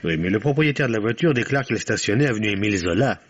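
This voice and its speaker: An actor playing a sardonic middle-aged police commissioner in a French radio mystery, with a dry, ironic delivery. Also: male voice, lowish voice, expressive, fast talker, husky. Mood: questioning. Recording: muffled vintage radio recording.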